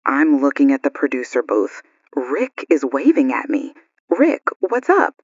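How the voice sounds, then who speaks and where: A woman performs an alert radio news host in a broadcast drama. She sounds concerned, with a husky voice and lively intonation. The recording is dry and slightly dull. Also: extremely low pitch, unhurried, no echo, full character voice. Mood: urgent, worried.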